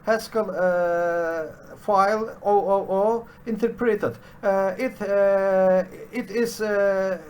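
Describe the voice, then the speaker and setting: A middle-aged man with a Turkish accent, a non-native English speaker, teaching programming from a home study, pausing often with "uh" fillers and restarts. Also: high voice, steady tone. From Turkey. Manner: halting, constant "uh" fillers and restarts. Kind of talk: unscripted screen-share tutorial.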